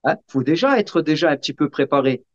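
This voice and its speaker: A man being interviewed, explaining casually in a halting way and often checking that the listener follows. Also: a little high, animated. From Sicilian family background. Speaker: interview guest. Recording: dull, muffled lo-fi sound.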